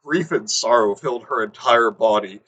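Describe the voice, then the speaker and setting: A man's voice: mid-range voice, moderate pace, fairly bright tone, highs cut off. A young man hosting a podcast, reading a horror story aloud and stopping to crack wry jokes. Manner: wry, breaks off to joke.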